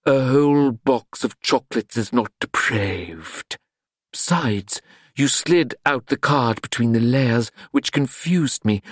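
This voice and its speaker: A man's voice: medium pitch, no room sound, studio quality, pitched above the performer's own voice, natural voice. Audiobook casting: worldly, indulgent madam, male narrator performing, grand, languid and persuasive. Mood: mock indignant.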